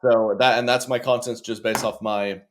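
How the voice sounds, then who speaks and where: A man's voice: mid-range voice, fairly even intonation, normal speed, a little hiss or hum. A man, a young adult, chatting casually as an interview guest in a rambling, stop-start, joking way. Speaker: comedian and children's author, interview guest.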